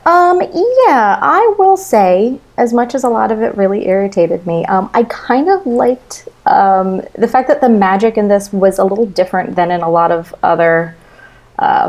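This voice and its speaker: A woman's voice: fairly low pitch, a little mellow. A woman chatting casually as a guest in a book discussion, with a blunt, comically complaining manner. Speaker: podcast guest. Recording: clearly noisy recording with clicks.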